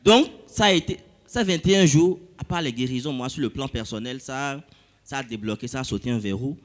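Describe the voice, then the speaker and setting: A man's voice: mid-range voice, brisk. West african french guy telling a church testimony, lots of euhs, gets worked up. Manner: animated storytelling with frequent hesitations. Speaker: church member giving testimony.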